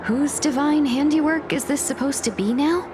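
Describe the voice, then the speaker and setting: A woman voicing a poised, courteous and wary advisor in a video game, speaking politely and deliberately. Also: high voice, background noise, natural voice. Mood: weary, concerned.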